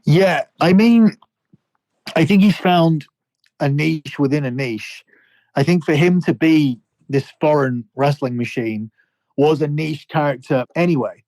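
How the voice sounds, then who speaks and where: A man co-hosting a podcast who explains wrestling characters in a measured, analytical way. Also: on the high side, darkish tone, no room sound, rough recording. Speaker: podcast co-host.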